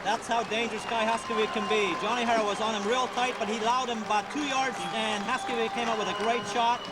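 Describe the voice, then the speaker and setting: A man's voice: very high-pitched, steady tone, fast talker, heavy background noise. A man giving live soccer color commentary, explaining plays in full flowing sentences. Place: indoor sports arena. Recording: old arena broadcast, very loud crowd. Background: roaring crowd and loud music.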